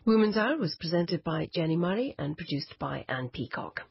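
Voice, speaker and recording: Low, creaky female radio announcer. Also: expressive, normal speed, no room sound. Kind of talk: scripted continuity announcement. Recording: dry, slightly muffled radio studio.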